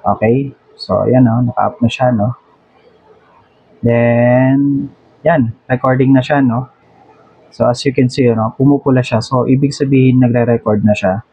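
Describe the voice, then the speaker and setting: A husky-voiced Filipino man walks viewers through steps in a casual tutorial style. His tone is mellow and relaxed, and he slips between Tagalog-style tags and English phrases. The sound is roomy, with music underneath. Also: mid-range voice, measured pace, pauses often, highs cut off. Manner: Taglish code-switching, addresses viewers directly, frequent check-in tags. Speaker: motovlogger giving a tutorial.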